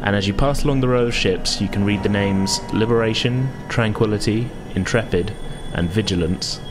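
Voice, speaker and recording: A man narrating a tabletop roleplaying game as game master, with measured, descriptive storytelling. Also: lowish voice, music in the background.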